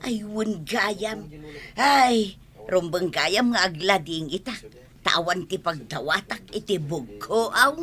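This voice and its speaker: Lady acting a chatty teasing village woman, comedy drama, really piercing voice, slow talker. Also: mid-range voice, lively intonation, pushed and bright.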